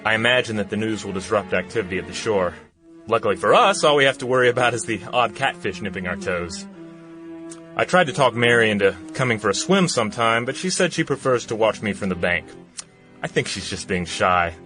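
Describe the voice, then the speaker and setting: A man's voice: lowish voice, expressive, a little fast, pushed and bright. An actor playing an easygoing, folksy young small-town man of the 1910s, giving an unworried diary monologue in a radio play. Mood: easygoing, dismissive. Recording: music bed under the voice.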